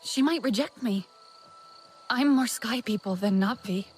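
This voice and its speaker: A woman voicing an earnest young alien heroine from a video game, her voice pitched a little high. She sounds concerned and sincere, with a strong musical score behind her. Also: measured pace, soft background music, natural voice. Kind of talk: video game cutscene acting.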